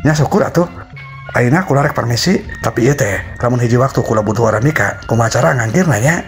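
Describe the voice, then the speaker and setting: Royal wanderer for a folktale, male storyteller acting: firm, brisk, emphatic, raised pitch. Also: lightly acted. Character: firm, bold royal traveller, grateful and brisk. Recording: music behind the voice.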